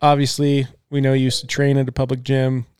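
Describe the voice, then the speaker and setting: A man's voice: medium pitch, completely flat delivery, fairly quick, a little mellow, clear, resonant tone, dry recording, clean studio sound. A man hosting a casual podcast, joking around, swearing easily and asking his guest questions. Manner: jokey, laid-back, swears casually. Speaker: podcast host.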